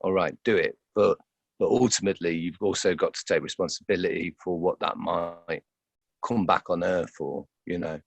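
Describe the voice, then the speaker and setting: A man's voice: deep voice, fairly even intonation, moderate pace, vocal fry, slightly rough, no room sound. A man giving conversational interview answers as an actor, hesitant and often repeating words as he thinks aloud.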